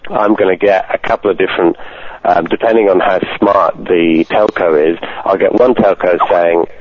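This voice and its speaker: A man's voice: sits low, fairly even intonation, moderate pace, dry recording, lo-fi sound. A man from Ireland with a posh British-sounding accent, a direct marketer speaking as a guest in a podcast interview, hesitant with many ums and restarts.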